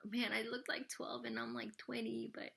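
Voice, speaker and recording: Woman, 30s, vlogger, bright chatty voice, rambling, casual Q&A to camera. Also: a little high, flat delivery, moderate pace, creaky, husky. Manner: rambling, full of "like" and "you know". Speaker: vlogger answering viewer questions.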